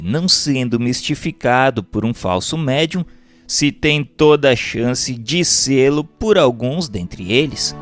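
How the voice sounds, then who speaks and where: A man with a Brazilian accent reads a scripted book chapter as narrator, in a formal, measured manner. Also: mid-range voice, no echo. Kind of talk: scripted narration of a spiritual treatise. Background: background music.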